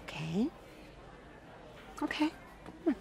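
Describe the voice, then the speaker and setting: Affectionate older aunt, video game: high, slow-paced, very expressive. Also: female voice, gravelly, some chatter behind, a little hiss or hum, exaggerated voice. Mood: puzzled. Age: 60s. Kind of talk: cinematic video game acting.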